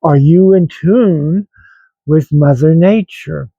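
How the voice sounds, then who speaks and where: Man in his seventies, American accent, gravelly voice, slightly high pitch, halting reflective personal talk. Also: dark tone, dry recording, lo-fi sound. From grew up in West Virginia, United States. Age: 70s. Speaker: vlog host. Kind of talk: rambling personal talk to online viewers.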